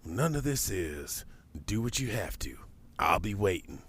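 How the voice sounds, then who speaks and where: An actor playing a weary, middle-aged bank robber confessing in an audio drama, telling his story with dramatic pauses and hushed asides. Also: on the low side, very animated, heavy background noise. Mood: resigned. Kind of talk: dramatic first-person audio drama narration. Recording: music under the voice.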